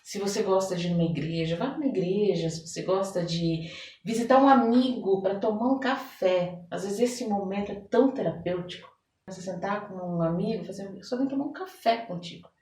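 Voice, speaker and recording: A woman's voice: medium pitch, a little fast, darkish tone. A Brazilian woman talking conversationally as a podcast guest, explaining things and acting out little quotes as she goes. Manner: explanatory, illustrates points with acted-out quotes. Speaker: podcast guest, therapist and oracle card reader. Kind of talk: conversational interview talk. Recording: roomy echo, distant microphone.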